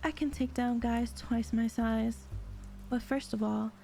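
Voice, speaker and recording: A woman's voice: fairly high pitch, steady tone, unhurried, gravelly, dark tone, faint music behind. A woman playing a sly, sweet-talking con artist in an audio drama, with a sassy, teasing, self-assured delivery. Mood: playful, defensive. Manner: sassy, drawling terms of endearment, teasing.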